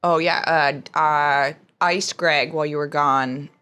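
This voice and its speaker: A woman's voice: low-pitched, steady tone, slow, pushed and bright, clean tone. A woman playing a tabletop roleplaying game, improvising casually in everyday conversational speech. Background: background music.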